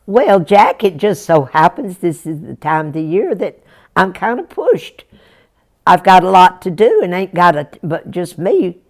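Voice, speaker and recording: A woman's voice: fairly low pitch, big pitch swings, unhurried. A woman storyteller voicing a kindly middle-aged country storekeeper with a folksy drawl.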